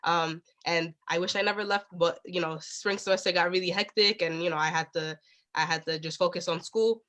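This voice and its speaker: A woman's voice: fairly low pitch, steady tone, a little fast, a bit bright. A woman in her twenties chatting casually and informally as a guest on a video call. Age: 20s. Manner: informal, peppered with "like" and "you know". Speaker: guest, college student and former intern. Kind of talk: casual chat.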